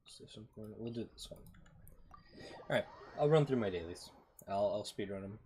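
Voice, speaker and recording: Low-voiced man gaming, clicky noisy mic. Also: animated, brisk, darkish tone. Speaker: game streamer. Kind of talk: casual gameplay commentary. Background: typing, mouse clicks, background noise.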